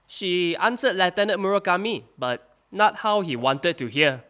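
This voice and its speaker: An actor playing a male witness at a wartime trial, speaking in a halting testimony that climbs into agitated outbursts. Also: high-pitched, sharp tone. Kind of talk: audio drama acting.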